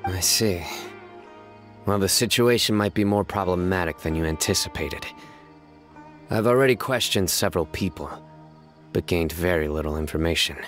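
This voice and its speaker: A stern video game lawman. His low voice stays serious and composed, with faint music behind it. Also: moderate pace, a bit bright. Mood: serious, calm. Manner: serious, controlled. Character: stern, composed young male lawman of few smiles.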